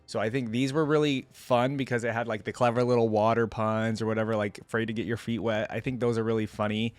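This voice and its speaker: Low-voiced young man, dry close sound. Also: flat delivery, fast talker, no room sound, soft background music. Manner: informal, musing aloud. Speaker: reaction video host. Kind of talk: casual reaction commentary.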